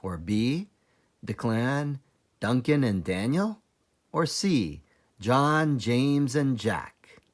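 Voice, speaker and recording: A man reads a children's story aloud as a teacher librarian would. He takes his time, placing phrases deliberately, and his medium-pitched voice moves expressively up and down. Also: expressive. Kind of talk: children's read-aloud narration.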